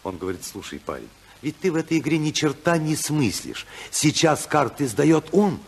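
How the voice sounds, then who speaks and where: An actor in a 1950s Soviet radio drama playing an outspoken, idealistic political rebel, husky and oratorical, with a sardonic edge. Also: male voice, fairly high pitch, very animated, normal speed. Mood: wry, storytelling. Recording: 1950s radio play recording.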